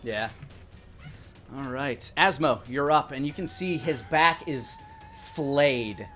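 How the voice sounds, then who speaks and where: A man's voice: on the high side, wildly swooping pitch, slow, a bit bright. A man acting as dungeon master, narrating action and ruling on the rules of a tabletop game as he goes. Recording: music playing behind the voice. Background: background music.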